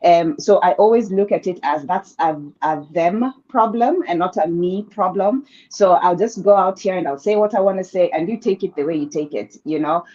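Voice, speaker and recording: A woman panelist speaking in a relaxed, self-assured way with frequent fillers, over a video call. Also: on the low side, normal speed, hardly any pauses. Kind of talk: casual panel discussion. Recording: dull lo-fi call audio.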